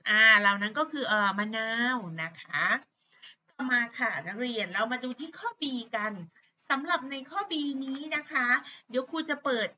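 Bright Thai woman teaching English words. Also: fairly high pitch, expressive, a little hiss or hum. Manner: patient, repeats words slowly, many fillers. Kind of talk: teaching vocabulary to pupils. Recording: dull lo-fi sound.

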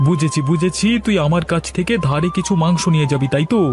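Man as high-pitched chatty village butcher uncle. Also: fast, natural voice. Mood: generous. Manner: familiar, exclamatory. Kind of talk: cartoon voice acting. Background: music.

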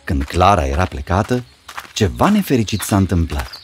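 A man's voice: low-pitched, very animated, soft background music. A man reading scripted fairy tale narration as the storyteller.

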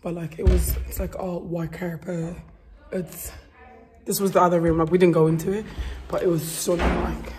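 A woman in her twenties, hoarse after losing her voice, giving a casual vlog tour of a house while filming herself on a phone held at arm's length. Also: on the low side, level tone, normal speed, darkish tone. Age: 20s. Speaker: vlogger. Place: large house and garden, indoors and outdoors. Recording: phone audio with clear background noise.

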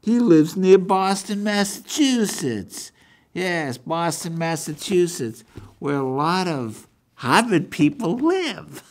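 An older man with a New England accent talks as the host of a rambling monologue. His delivery is halting and folksy, and he often circles back over his own words. He speaks indoors in a small meeting room at a desk microphone. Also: high-pitched, sing-song. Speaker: show host. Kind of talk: rambling monologue book review.

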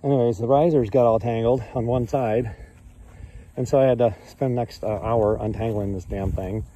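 A man's voice: mid-range voice, fairly even intonation, moderate pace, mellow. A man in his fifties with a cold, rambling with many ums and uhs as he talks over his own video from a field. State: has a cold. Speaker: hobby paraglider vlogger. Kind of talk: casual voice-over commentary. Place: outdoors in an open field.

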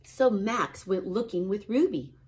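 A middle-aged woman, a kindergarten teacher, reading a picture book and chatting to children in an expressive style. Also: mid-range voice, unhurried, a little hiss or hum. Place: small classroom, indoors.

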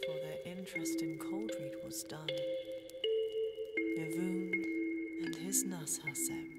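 A woman narrating a fantasy tale in verse as a storyteller, dramatic and deliberate. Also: deep voice, fairly even intonation, loud music behind the voice. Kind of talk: slow, scripted verse storytelling.

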